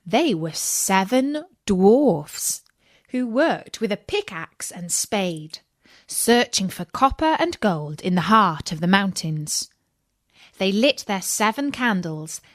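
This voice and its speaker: Female narrator for children's fairy tales: very unhurried, formal, medium pitch, dry close recording. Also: big pitch swings, no room sound. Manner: measured, formal storybook reading. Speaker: storyteller. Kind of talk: scripted fairy tale narration.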